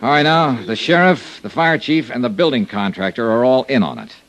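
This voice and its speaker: An American actor playing a hard-boiled insurance investigator in an old radio serial, clipped, dry and blunt. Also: male voice, mid-range voice, expressive, fairly quick, fairly bright tone, lightly acted. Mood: brisk briefing. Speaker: lead actor and narrator of radio detective serial. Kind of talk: old-time radio detective acting and narration. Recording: old radio broadcast recording.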